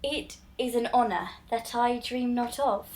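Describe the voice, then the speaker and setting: A woman playing a dutiful, modest teenage noble girl in a Shakespearean audio drama, measured and polite. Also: a little high, darkish tone, lightly acted. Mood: demure.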